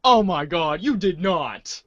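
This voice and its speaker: A woman's voice: fairly low pitch, expressive, slow pace, a bit bright, no echo, highs cut off. A woman acting in a casual comic table read, hesitant and a bit exasperated, breaking off for asides. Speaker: actor in a reader's theater show.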